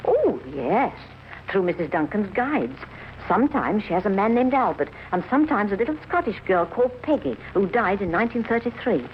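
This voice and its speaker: A woman's voice: mid-range voice, big pitch swings, moderate pace, a little hiss or hum, close to an everyday voice. Actress as eager British shopkeeper woman, gushing spiritualist, swooping intonation, 1950s radio play, muffled recording. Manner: gushing, effusive. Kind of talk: 1950s radio drama acting.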